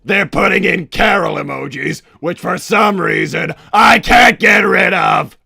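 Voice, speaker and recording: Casting: bombastic cartoon mad-scientist villain, doting but hot-tempered, high-pitched male voice with heavy rasp. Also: measured pace, sharp tone, no room sound, raised voice, studio quality, exaggerated voice. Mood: indignant, firm. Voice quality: gravelly, raspy. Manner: blustering, bombastic. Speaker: livestream host. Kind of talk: in-character livestream chat.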